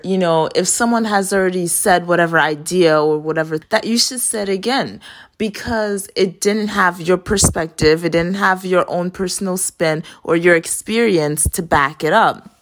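A young woman from Haiti hosting her own podcast, chatting casually in a self-deprecating way. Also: on the low side. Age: young adult. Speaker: podcast host. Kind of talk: casual solo podcast monologue.

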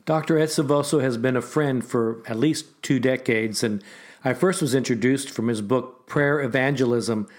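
A man hosts a ministry podcast and opens it with a prepared introduction. He speaks earnestly at an even, measured pace. He builds his points as lists of short phrases. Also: medium pitch. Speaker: podcast host.

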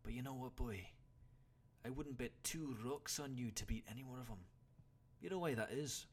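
A man's voice: fairly low pitch, sing-song, normal speed, pitched above the performer's own voice. A man voicing a stoic, blunt older warrior mentor who scolds his pupil in an audiobook story. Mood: exasperated.

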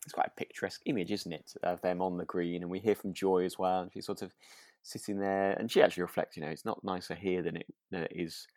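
Low-voiced man, casual podcast chat. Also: level tone, fast talker, a little mellow, no room sound, clean studio sound. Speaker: podcast co-host. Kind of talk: relaxed casual chat about a radio drama.

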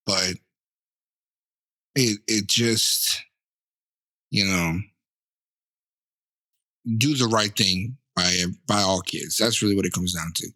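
A man with a lisp and a stutter talks in a relaxed, rambling way, stopping and starting as he leans into his points. His voice sits a little low yet sounds bright and forward. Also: slow, pauses often. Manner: rambling, emphatic, fillers like "you know". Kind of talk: casual podcast chat.

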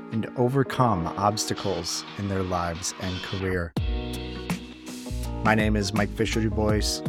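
A man hosting a podcast, reading a steady, measured introduction. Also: on the low side. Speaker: podcast host.

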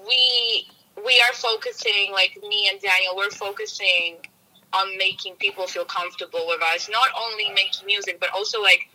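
A woman's voice: medium pitch, steady tone, moderate pace, sharp tone, rough recording. A woman from Poland with a Polish accent, a music producer guest in a casual interview, earnest and often searching for words.

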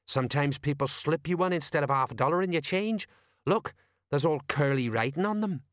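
Storybook police sergeant, read by a man: self-important, slow-witted old hand, colloquial lecturer, raised pitch, dry close recording. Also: no echo, lightly acted.

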